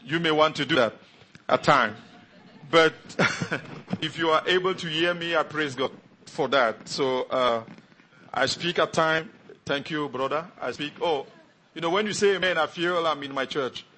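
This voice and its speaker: A middle-aged man from Africa whose first language is French, preaching a sermon as a visiting pastor, talking quickly with many fillers and restarts. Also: fairly high pitch, pushed and bright, slight background noise, rough recording. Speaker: guest pastor.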